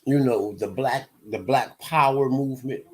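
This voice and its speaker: A man in his fifties from Detroit, hosting his own show and telling his life story in a slow, halting monologue full of restarts and repeated words. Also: medium pitch. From Detroit, USA. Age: 50s. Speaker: show host. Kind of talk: personal monologue.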